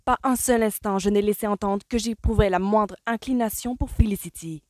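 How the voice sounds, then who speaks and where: A woman voicing a furious young gentleman. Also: sits low, moderate pace, no echo. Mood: angry. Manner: barking, forceful outbursts. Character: hot-tempered young gentleman suitor.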